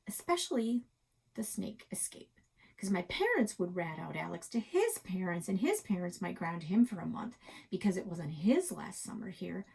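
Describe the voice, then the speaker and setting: A woman narrating a first-person children's novel expressively, with dramatic pauses and hushed asides. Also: medium pitch, gravelly, breathy edge, rough recording. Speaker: library story reader.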